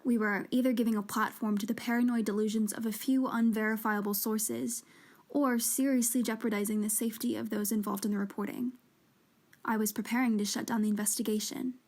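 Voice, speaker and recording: A woman's voice: fairly high pitch, steady tone, brisk, a little mellow. An actress playing an earnest woman journalist who hosts an investigative podcast drama, speaking in a serious, scripted way. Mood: serious, measured. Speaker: podcast host.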